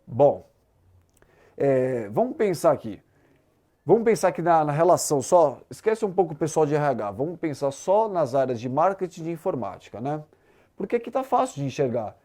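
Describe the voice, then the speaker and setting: A man's voice: fairly high pitch, dark tone. A man with a Brazilian accent teaching a math lesson, explaining step by step and checking understanding with short tag questions. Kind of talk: explanatory exam-prep lesson.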